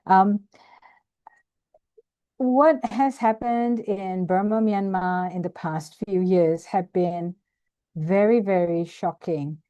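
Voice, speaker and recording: A woman's voice: medium pitch, slow pace, warm tone, clean tone, no echo. An older activist woman from Malaysia speaking on a video call webinar, hesitating often with ums and restarts. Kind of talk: casual presentation over video call.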